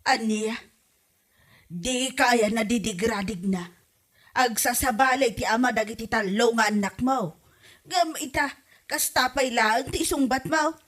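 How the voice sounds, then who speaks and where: A woman's voice: on the high side, unhurried, fairly bright tone. A woman with an Ilocano accent playing a nagging, exasperated older mother in a comedy drama. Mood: scolding. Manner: nagging, reproachful.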